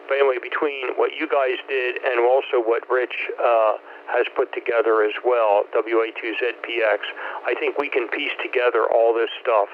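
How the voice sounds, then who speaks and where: A man in his seventies with a medium-pitched voice talks casually over two-way radio. He is courteous and rambles appreciatively as he hosts the exchange. The signal is narrow and phone-like, with light noise. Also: little pitch movement, moderate pace. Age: 70s. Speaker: amateur radio net host. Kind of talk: casual ham radio chat. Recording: noisy, narrow-band ham radio transmission.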